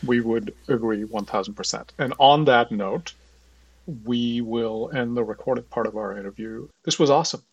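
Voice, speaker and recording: A man with a husky, slightly rough voice at a middle pitch hosts an interview podcast. He sounds a little off the microphone in a dry space, talking conversationally. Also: no echo, recorded from a distance, low-quality recording. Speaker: interview host.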